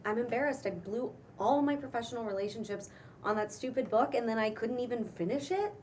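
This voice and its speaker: A woman acts out a self-pitying, defensive female writer in a comedy-drama table read. Her voice is fairly high and conversational. It is recorded at some distance from the mic, with faint room noise. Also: brisk, darkish tone, close to an everyday voice. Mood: dejected. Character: Janie, mopey, defensive broke female writer, freshly dumped. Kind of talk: casual cold read of comedy-drama script.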